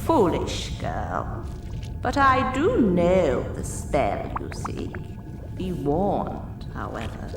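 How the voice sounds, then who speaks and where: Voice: medium pitch, huge pitch swings, very slow, vocal fry, recorded from a distance, exaggerated voice. An actor voicing a scheming old female sea witch in a cartoon fairy tale, theatrical and sardonic, with a drawling delivery. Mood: menacing. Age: older. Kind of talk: animated fairy tale character acting. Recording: echoey, off-mic, music underneath.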